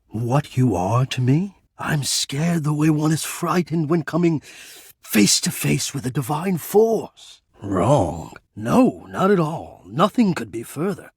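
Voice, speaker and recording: An actor playing a shy, formal and lovestruck male ventilation system in a dating game, speaking earnestly and haltingly in a breathy voice. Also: mid-range voice, dry recording, studio quality, heavily acted. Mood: flustered, pleading. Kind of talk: dating game character voice acting.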